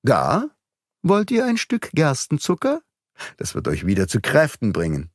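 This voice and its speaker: A man voicing a boastful, mocking gentleman thief in an audiobook, with a theatrical, taunting manner. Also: a little high, huge pitch swings, a little slow, dry recording, clean studio sound, voice pushed up, full character voice. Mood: mock-kindly. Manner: taunting, theatrical, mock-friendly.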